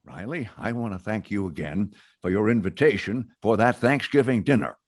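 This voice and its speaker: Lively, genial factory boss. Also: male voice, on the low side, moderate pace, dry recording, studio quality. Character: genial, oblivious factory boss inviting himself along. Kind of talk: old-time radio sitcom acting.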